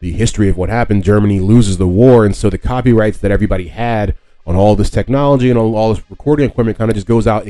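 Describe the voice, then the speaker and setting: A man with a low, mellow voice hosts a history podcast. He explains things casually, feeling his way through sentences and often rewording as he goes. Faint music sits behind him. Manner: halting, conversational, often rephrasing himself. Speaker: podcast host.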